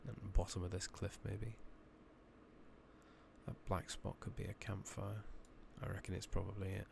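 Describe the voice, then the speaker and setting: Male ASMR gamer, very low, heavily creaky, soft-spoken murmur, casual and sweary, noisy home recording. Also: little pitch movement, normal speed. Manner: casual, swearing, muttering to himself. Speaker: ASMR gaming streamer. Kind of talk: soft-spoken ASMR gameplay commentary with whispering. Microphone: makeshift rigged-up close microphone. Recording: noisy home mic with clicking.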